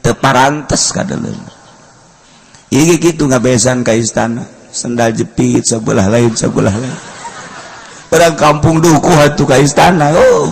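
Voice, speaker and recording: A man with a Sundanese accent, telling a comic folk tale in a sermon, drawing out his words and jumping between soft asides and loud bursts. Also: mid-range voice, vocal fry, rough recording. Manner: slow, drawn-out comic storytelling with sudden loud bursts and mock voices. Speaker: Islamic preacher. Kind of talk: humorous storytelling sermon.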